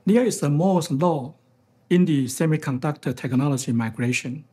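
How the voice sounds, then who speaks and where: A very husky, rough-edged male voice with a Taiwanese accent, pitched a little high. He delivers a formal keynote as an executive would, phrasing each point deliberately. The tone is on the mellow side. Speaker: financial executive giving a keynote speech. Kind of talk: prepared formal conference speech.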